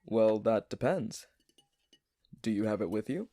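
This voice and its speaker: An actor playing an earnest, modest young alchemist in an audio drama, explaining things politely and matter-of-factly. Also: male voice, on the low side, lively intonation, measured pace, mellow, no echo.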